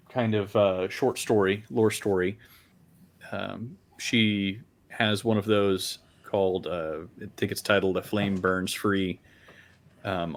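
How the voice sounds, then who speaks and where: Male podcast speaker, slightly deep. Informal, hesitant, halting delivery. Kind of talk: casual podcast chat.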